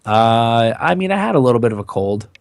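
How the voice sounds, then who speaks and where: A man with a raspy, hoarse voice that is recovering, chatting casually and a bit haltingly as a podcast host. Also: mid-range voice, very animated. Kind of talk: casual chat.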